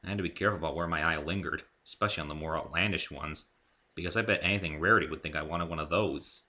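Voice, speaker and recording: Male amateur narrator for dramatic fiction reading; lowish pitch, very bright, forceful delivery. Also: racing. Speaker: amateur reader of own fan fiction.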